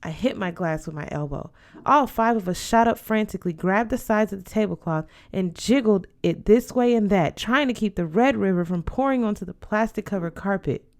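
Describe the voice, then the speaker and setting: A woman reading a first-person story aloud as an expressive scripted narration. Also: medium pitch, normal speed. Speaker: audiobook reader.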